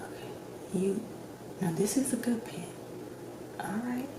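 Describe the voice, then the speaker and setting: A woman speaking softly and gently, often slipping into a whisper, in a measured ASMR roleplay as a doctor. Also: medium pitch. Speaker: ASMR creator playing a doctor. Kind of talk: soft-spoken ASMR roleplay. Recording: roomy echo with clear background noise.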